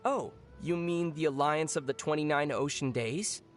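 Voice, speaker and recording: An actor voices a young traveler from an anime video game. The delivery is calm and measured, knowledgeable and matter-of-fact. There is a wry, gently teasing edge to it. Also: medium pitch, music in the background, natural voice. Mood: explanatory.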